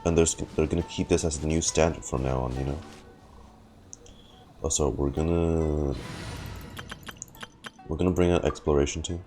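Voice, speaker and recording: A man's voice: rumbling low, steady tone, moderate pace, long pauses, warm tone. A man streaming a game, reacting casually and musing aloud to himself, with faint game music behind him. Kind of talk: casual live playthrough reaction.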